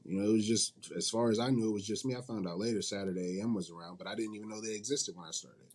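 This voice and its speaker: A man's voice: fairly low pitch, fairly even intonation, racing, darkish tone. A man speaking informally as an interview guest, colloquial and repeating points for emphasis. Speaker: guest, indie manga publisher and company CEO. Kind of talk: casual interview chat.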